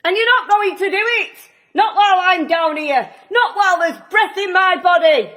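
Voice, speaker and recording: An actress playing a stubborn, sharp-tongued Yorkshire woman in an audio drama, with a blunt, salty way of talking. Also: very high voice, lively intonation, moderate pace, exaggerated voice. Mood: defiant, exasperated.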